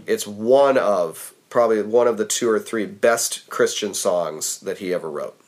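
A man hosting a casual podcast quiz, making wry, dry jokes and trailing off quietly at the ends of thoughts. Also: on the low side, unhurried. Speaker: podcast host running a quiz game. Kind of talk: casual chat.

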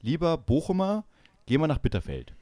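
A man from eastern Germany chatting casually and comically as a podcast host, animated and given to mock-indignant banter. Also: fairly high pitch, moderate pace, dry recording, studio quality. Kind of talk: casual comedic chat.

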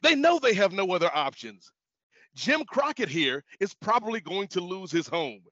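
Man playing a high-pitched, preachy Santa Claus. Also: sing-song, fairly bright tone, no room sound, exaggerated voice. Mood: reproachful. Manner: preachy, admonishing, halting. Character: moralizing Santa Claus showing a greedy boss his wrongs. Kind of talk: comedic audio play. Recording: dull lo-fi audio.

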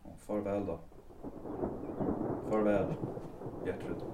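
A bitter rejected lover, played by a man talks in an audio drama, accusing at first and then pleading quietly. His voice sits in the middle range. It is recorded in an echoey room, away from the mic. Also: on the low side, steady tone, far from the mic, very noisy, natural voice. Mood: subdued.

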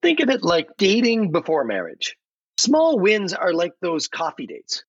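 A man playing a chatty AI podcast guest with a playful, theatrical, salesy delivery and dramatic pauses. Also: sits high, wildly swooping pitch, a bit bright, husky, exaggerated voice. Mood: confident, upbeat. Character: chatty, theatrical AI chatbot guest with pirate flair. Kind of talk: scripted podcast answers full of metaphors. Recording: slightly roomy, dull lo-fi audio.

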